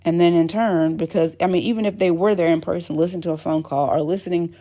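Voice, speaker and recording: A woman's voice: on the low side, steady tone, fast, warm tone. A woman host talking casually to her viewers, rambling with many fillers and restarts. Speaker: channel host. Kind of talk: casual talk to camera.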